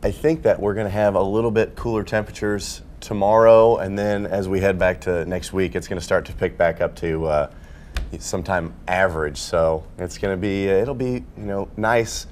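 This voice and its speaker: A man presenting a TV weather forecast off the cuff, somewhat halting as he reworks his sentences. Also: low voice, steady tone, a little mellow, a little hiss or hum. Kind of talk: unscripted weather presentation, live aircheck.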